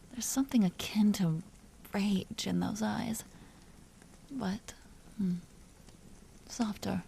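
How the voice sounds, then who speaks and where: A woman playing an elegant vampire duchess in an audio roleplay, speaking softly with formal, languid, teasing courtesy and an amused, predatory air. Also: fairly low pitch, gravelly, slight background noise. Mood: appraising, intrigued. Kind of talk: dramatic roleplay audio performance.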